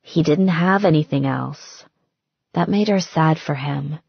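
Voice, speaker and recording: A woman reading a novel aloud as an audiobook narrator, expressive and scripted. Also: low voice, unhurried, gravelly, breathy edge, no echo, dull audio.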